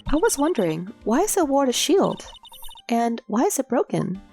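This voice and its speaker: A woman's voice: a little high, sing-song, moderate pace, darkish tone, faint music behind, pitched above the performer's own voice. A woman with a cold voicing an eager, curious young girl full of questions. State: sick with a cold. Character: eager young female science-loving girl, bright and curious.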